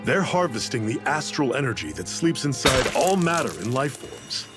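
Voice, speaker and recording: An actor playing a seasoned, fatherly rebel leader in a video game, with a relaxed, folksy, mentoring way of talking. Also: medium pitch, moderate pace, over loud music, natural voice. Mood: wry, explaining.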